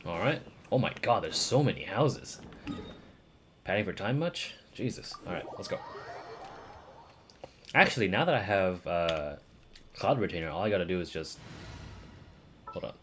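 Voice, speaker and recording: A man's voice: sits low, expressive, moderate pace, pauses often, a little hiss or hum. A man giving casual live gameplay commentary as a streamer. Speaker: game streamer.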